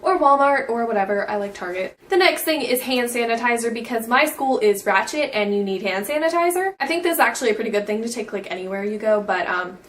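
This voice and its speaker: A woman's voice: a little high, lively intonation, fast, few pauses, a bit bright, recorded from a distance, a little hiss or hum. A teenage girl in a casual beauty vlog, chatty and bubbly, saying "like" all the time. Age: teens. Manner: bubbly, says "like" constantly. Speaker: beauty vlogger.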